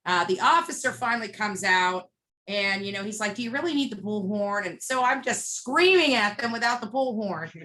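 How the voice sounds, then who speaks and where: A woman's voice: mid-range voice, expressive, normal speed, sharp tone, rough recording. A woman being interviewed, telling a story casually in a blunt, emphatic way and acting out bits of dialogue as she narrates. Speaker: interview guest, street protester.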